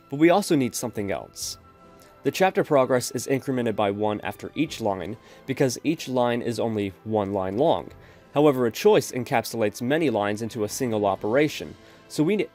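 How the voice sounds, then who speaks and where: A man calmly explaining code step by step as the host of a screen-recorded programming tutorial. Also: mid-range voice. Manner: steady explanatory, addresses viewers as folks.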